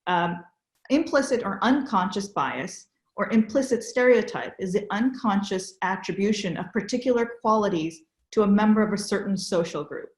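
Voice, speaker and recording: A woman's voice: medium pitch, expressive, normal speed, reverberant, poor audio. A woman from the San Francisco Bay Area hosting a conversation, explaining ideas in a thoughtful way. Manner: explanatory, thoughtful, frequent "you know". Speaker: podcast host. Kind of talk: conversational interview.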